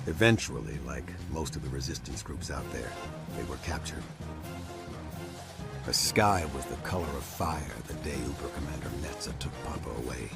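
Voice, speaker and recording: A man's voice: vocal fry, full character voice. An actor playing a defiant, brooding American ex-athlete hero who tells his story of revenge in terse, gritty game narration. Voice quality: very deep voice. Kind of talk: noir-like game cutscene narration and dialogue. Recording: loud music under the voice.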